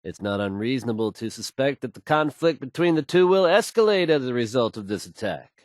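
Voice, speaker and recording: A man's voice: medium pitch, sing-song, a little fast, no room sound, studio quality, close to an everyday voice. A man playing a self-assured radio newscaster in a video game, fluent and folksy as he announces the news. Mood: upbeat, assured. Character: confident, smooth, assured male radio newscaster.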